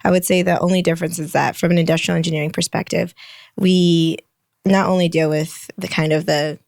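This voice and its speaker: A woman in her late twenties talks through her engineering career as a podcast guest, explaining things patiently and laughing easily. Her voice sits low with a gravelly fry, and the recording is close and dry. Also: flat delivery, a little fast, a little mellow, no echo. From Michigan, United States. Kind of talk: conversational interview.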